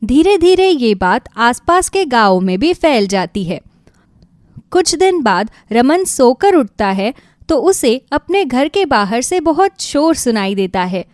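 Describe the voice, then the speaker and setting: Woman reading a kids moral story, expressive, up and down pitch, very dry recording. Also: measured pace, dry recording. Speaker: narrator. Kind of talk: scripted children's moral story narration.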